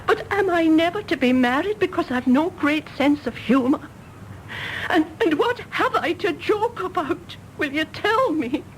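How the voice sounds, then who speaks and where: A woman's voice: sits high, lively intonation, unhurried, slight background noise, poor audio. An actress playing a solemn, lonely governess in her thirties in a radio drama, earnest and intense. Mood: bitter, hurt. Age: 30s. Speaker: actor. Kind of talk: British radio drama acting.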